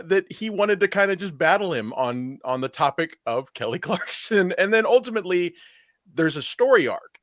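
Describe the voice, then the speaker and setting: A man's voice: a little high, fairly bright tone, no echo, studio quality. A man co-hosting a talk show with casual, conversational commentary. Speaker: show co-host.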